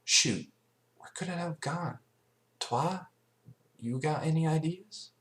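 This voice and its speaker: A man's voice: on the high side, slow, a bit bright, voice pushed up. A man voicing an earnest, folksy young female farm pony with plain-spoken ways, in a story reading. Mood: puzzled.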